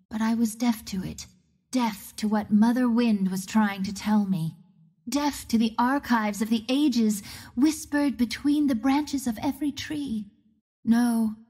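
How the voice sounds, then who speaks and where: A woman playing a young, wistful wind archer heroine in a video game, telling her story in a reflective, measured, dramatic way. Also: fairly high pitch, darkish tone. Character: young female wind archer, wistful orphan seeking truth. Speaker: video game hero character. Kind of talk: dramatic first-person monologue.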